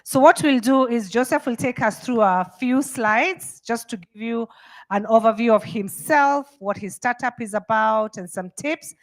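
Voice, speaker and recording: A woman's voice: on the high side, a little slow. Woman moderator introducing a speaker. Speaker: session moderator.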